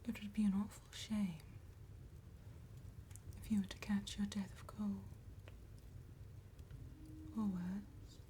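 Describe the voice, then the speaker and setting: A woman voicing a courteous, sly female vampire tattooist in a gothic ASMR roleplay, soft and breathy, with formal phrasing and veiled menace. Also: medium pitch, level tone, slight background noise, natural voice. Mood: quietly menacing. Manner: slow, formal, old-fashioned politeness with veiled menace.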